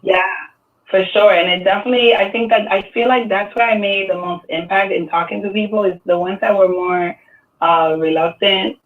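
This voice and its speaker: A man in his thirties from the Dominican Republic, raised in New York City, with a New York accent, talking in a relaxed, reflective way with many fillers on an artist panel. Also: sits high, moderate pace. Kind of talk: casual panel discussion. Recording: tinny, distant phone-like sound.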